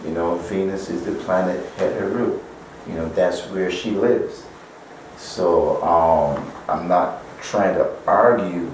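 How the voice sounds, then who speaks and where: A man's voice: low voice, slow, darkish tone. A man from Barbados, an author answering interview questions at length, with many "you know" fillers. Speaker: author and guest. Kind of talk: interview answers, spiritual teaching. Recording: echoey far-off room, faint noise.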